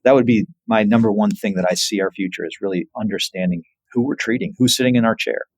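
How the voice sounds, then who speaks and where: A man speaking as a physician researcher in an interview, earnest and emphatic while explaining findings in measured phrases. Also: fairly low pitch, no echo. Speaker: physician researcher, podcast guest.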